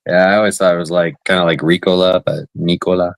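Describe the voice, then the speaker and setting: A man co-hosting a casual podcast chat, relaxed but quick to argue a point, with plenty of "like". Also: sits low, gravelly, no room sound. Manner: relaxed, argumentative, lots of "like". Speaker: podcast co-host.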